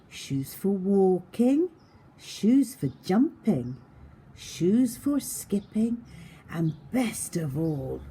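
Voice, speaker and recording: Slow, animated woman reading to children. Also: lowish voice, slight background noise. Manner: addresses young children simply and directly. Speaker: teacher reading stories to young pupils.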